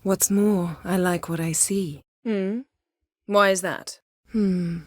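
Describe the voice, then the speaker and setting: A woman playing a proud, sardonic, commanding sorceress in a video game, speaking in dry, measured, formal phrases. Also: mid-range voice, fairly even intonation, dry recording. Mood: dry, composed.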